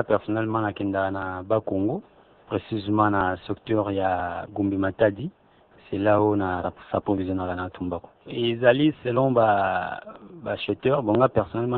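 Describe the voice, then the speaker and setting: A man with a Congolese accent, a tobacco trader, rambling between two languages. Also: lowish voice. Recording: narrow phone-like band.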